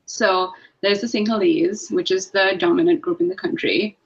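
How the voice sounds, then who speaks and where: A woman's voice: mid-range voice, moderate pace, gravelly, dull audio. A woman from Sri Lanka with a mixed British and American accent, a podcast guest who talks casually, laughs often and restarts her sentences. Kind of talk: casual podcast chat over video call.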